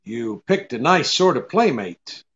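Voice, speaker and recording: A man's voice: mid-range voice, very animated, a little slow, fairly bright tone, highs cut off. A man playing a wry, tough, unflappable private detective in a 1940s-style radio drama, with an offhand way of questioning. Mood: brusque, probing.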